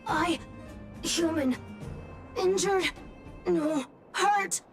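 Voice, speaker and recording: Halting, high-pitched tiny robot. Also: female voice, vocal fry, recorded from a distance, faint music behind, heavily acted. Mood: timid, pleading. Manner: halting, one word at a time. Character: tiny scrap-metal robot, timid and pleading.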